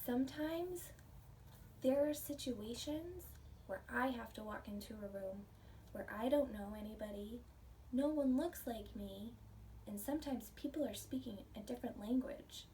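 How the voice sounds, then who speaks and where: A woman reading a picture book aloud to children and chatting about it in a gentle, expressive, questioning way. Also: fairly high pitch, unhurried. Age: adult. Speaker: children's storybook reader, teacher. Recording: distant mic with faint background noise.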